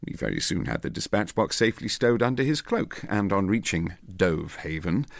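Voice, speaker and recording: A man reading as a self-assured British gentleman agent who recounts a clever trick in an urbane, methodical way. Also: low-pitched, lively intonation, normal speed, vocal fry, no room sound, clean studio sound. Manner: urbane, methodical, quietly pleased with himself.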